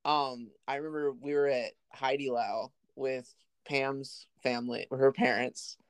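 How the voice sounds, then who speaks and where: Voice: medium pitch, fairly even intonation, unhurried, clean tone, no echo. A podcast host chatting casually with a friend, asking loose, meandering questions and laughing along. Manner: friendly, rambling prompts with fillers and restarts. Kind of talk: casual chat.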